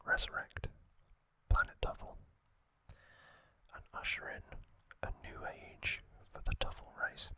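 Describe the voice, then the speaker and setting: A man whispering an ASMR recap as host, in a hushed, deliberate way with short phrases and gaps.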